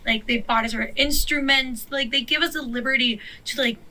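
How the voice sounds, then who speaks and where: A woman's voice: fairly high pitch, steady tone, brisk, bright, forceful tone, low-quality recording. A woman in her twenties, a drummer speaking in a documentary interview in a chatty way, full of "like". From Mexico. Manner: chatty, many "like" fillers. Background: background music.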